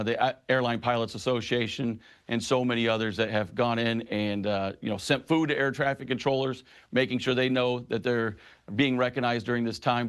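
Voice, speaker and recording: Middle-aged male union head, firm and impassioned, mid-range voice. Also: medium pitch. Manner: impassioned, emphatic advocacy. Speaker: union president. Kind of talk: news interview guest.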